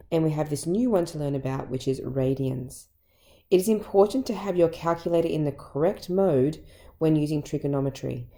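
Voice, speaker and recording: A woman teacher with an Australian accent and a croaky voice, patiently explaining a maths lesson step by step. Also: deep voice, warm tone. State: croaky voice from illness.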